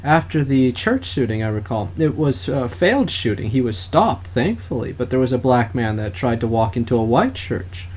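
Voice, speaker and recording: A man speaking as a podcast host in an opinionated political discussion, with halting, wordy, formal phrasing. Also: mid-range voice, big pitch swings, a little mellow. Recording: clearly noisy background.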